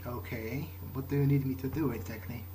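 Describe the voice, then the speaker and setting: A man's voice: mid-range voice, fairly even intonation, fairly quick, mellow, soft background music. Hesitant man playing a game in character. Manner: hesitant, musing to himself. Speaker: gaming video maker. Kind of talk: in-character game playthrough commentary. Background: video game ambience.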